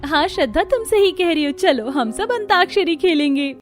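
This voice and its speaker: A woman's voice: extremely high pitch, lively intonation, normal speed, faint music behind. A woman voicing a devoted, outspoken young sister in a family cartoon, earnest and emphatic. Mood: pleading, eager.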